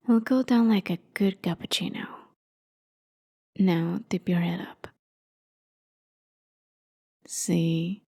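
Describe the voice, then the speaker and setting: A husky, breathy immortal warrior woman, acted by a female performer, speaks low and unhurried in an intimate ASMR roleplay. She is haughty and world-weary, telling her story with sardonic ease and often sinking toward a whisper. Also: full character voice. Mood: commanding, impatient. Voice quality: soft, breathy, often dropping to a near whisper. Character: immortal warrior demigoddess, haughty, jaded, wry captor. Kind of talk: ASMR roleplay, dramatic monologue.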